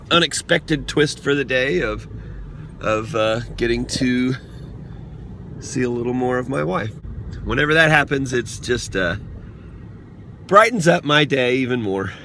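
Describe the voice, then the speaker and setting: Hoarse man, bright forceful tone, rambling vlog chat, phone camera, faint background noise. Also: medium pitch. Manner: rambling, chatty, quick to laugh. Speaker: vlogger. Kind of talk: casual daily vlog chat.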